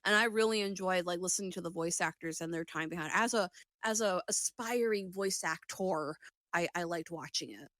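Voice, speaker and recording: A woman's voice: on the low side, moderate pace, a bit bright, no echo, studio quality. A woman co-hosting a podcast, chatting casually in slangy, sweary banter. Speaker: podcast co-host and aspiring voice actor.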